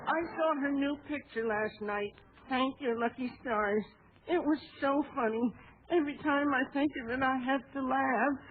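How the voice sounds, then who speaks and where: A man's voice: very high-pitched, normal speed. A man playing a doleful, timid postman with prim, flowery airs in a 1940s radio comedy. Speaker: comic supporting actor. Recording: tinny, noisy 1940s radio recording.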